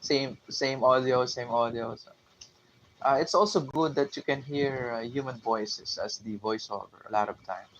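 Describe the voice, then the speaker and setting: A man's voice: medium pitch, normal speed, a bit bright. A man from the Philippines with a Filipino English accent, talking casually to his channel viewers, with many fillers and restarts. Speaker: YouTube channel host. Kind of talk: casual unscripted talk to camera. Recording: dull lo-fi recording with faint room noise.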